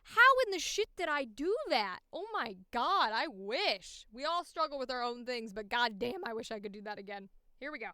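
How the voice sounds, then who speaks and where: Female streamer, high clear voice, playful, sweary, talking to herself in casual livestream style, close dry mic. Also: animated, moderate pace, bright, forceful tone, no echo. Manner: talks to self, swears freely, playful. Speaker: streamer and voice actor. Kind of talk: casual livestream chat while editing audition takes.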